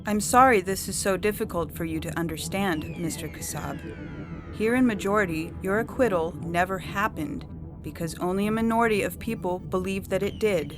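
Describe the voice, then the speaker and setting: A woman playing an imperious female judge, speaking with stern, measured certainty in a dramatic story reading. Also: medium pitch, over music, lightly acted. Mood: cold, commanding. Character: implacable, imperious female judge of absolute conviction.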